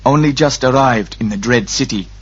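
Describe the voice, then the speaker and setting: A man performs an old sorcerer in a fantasy audiobook, passing as a humble pilgrim. He speaks with husky, guttural formality and careful deference. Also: mid-range voice, moderate pace, highs cut off, natural voice. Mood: humbly deferential. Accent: guttural invented accent.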